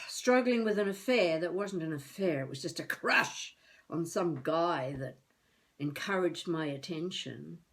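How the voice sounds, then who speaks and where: A woman playing a feisty elderly would-be novelist, rambling wryly and haltingly through a comic monologue as a video diary. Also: lowish voice, expressive. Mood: reminiscing. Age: older. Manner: wry, musing, halting, talking to herself.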